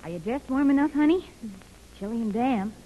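An actress playing a worldly, motherly young chorus girl with a brisk, breezy manner, in 1930s radio drama style. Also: on the high side, animated, dull audio, background noise, natural voice.

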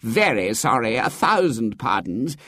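A man's voice: mid-range voice, very animated, a little slow, fairly bright tone, no echo, clean studio sound. A man voicing an obsequious, apologetic country vicar in a storybook reading. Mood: fawning. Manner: fawning, overly apologetic. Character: obsequious fussy male country vicar, middle-aged.

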